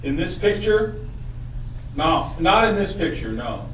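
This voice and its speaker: A man's voice: on the high side, big pitch swings, measured pace, a bit bright. A man playing a store owner on the witness stand in a puppet trial, giving terse, literal answers. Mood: guarded. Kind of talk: courtroom testimony read from a transcript. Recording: echoey, far from mic, faint noise.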